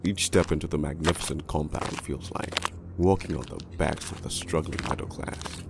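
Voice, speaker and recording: A man voicing a cynical, world-weary crooked police detective, narrating an animated noir storybook in a brooding, deliberate, gravelly way. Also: very deep voice, background noise. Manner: brooding, hard-boiled, deliberate. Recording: music bed behind the voice.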